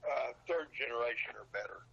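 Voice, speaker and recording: An older man reminiscing casually as an interview guest, with a folksy, halting manner that often repeats how a phrase begins. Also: mid-range voice, gravelly, sharp tone, husky, muffled recording. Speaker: podcast guest, old radio show collector and seller.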